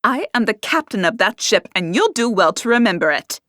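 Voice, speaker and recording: A woman voicing a proud young pirate captain who speaks in a crisp, formal, indignant way. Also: mid-range voice, sing-song, fairly quick, a bit bright, dry recording, clean studio sound. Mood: haughty.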